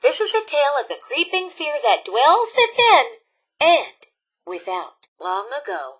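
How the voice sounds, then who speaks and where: An actress playing a cheery, prim robot scout leader who tells spooky campfire tales with theatrical, precise diction in a video game. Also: female voice, mid-range voice, pushed and bright, slightly rough, full character voice.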